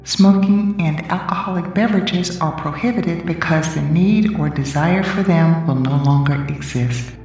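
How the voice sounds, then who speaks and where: A woman playing an airport boarding announcer, giving formal, measured announcements in a radio drama. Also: low voice. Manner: measured, formal public-address delivery. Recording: distant echoey announcement with soft music.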